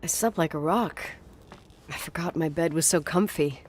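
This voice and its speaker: A woman's voice: deep voice, moderate pace, slight background noise, close to an everyday voice. An actress playing a guarded, strong-willed young American woman with a dry manner in a naturalistic video game. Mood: curious, concerned. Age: young adult.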